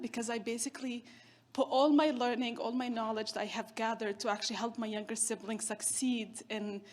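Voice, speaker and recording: Chatty keynote woman, higher voice. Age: 30s. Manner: chatty, storytelling with self-deprecating humor. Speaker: keynote speaker. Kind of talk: conference keynote talk.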